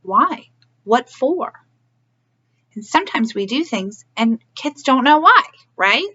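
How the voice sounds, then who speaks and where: A woman's voice: a little high, bright, forceful tone, dull audio. A woman hosting a storybook read-aloud for young children, asking questions and explaining as she goes. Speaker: early learning read-aloud host.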